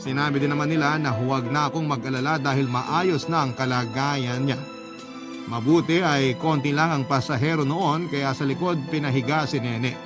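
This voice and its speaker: A man's voice: medium pitch, fairly even intonation, normal speed, close to an everyday voice. A man playing a worried, devoted storyteller, giving an earnest first-person radio drama narration. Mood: anxious, prayerful. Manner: earnest, emotional. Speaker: letter-sender narrator. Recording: music bed under narration.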